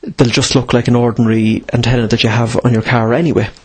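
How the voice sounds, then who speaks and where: A man with a slightly rough, husky voice at an average pitch explains things in an informal teaching style. He sets up questions and then answers them himself. Key phrases get repeated for emphasis. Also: steady tone, fast talker. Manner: asks and answers own questions, emphatic repetition. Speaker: presenter of instructional radio videos.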